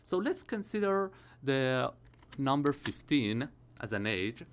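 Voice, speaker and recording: A man in his early thirties gives a grammar lesson at a mid-range pitch. He explains each point step by step and keeps checking in with his viewers. Keyboard typing is heard behind him. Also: sing-song, slow, slight background noise. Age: 30s. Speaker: English teacher.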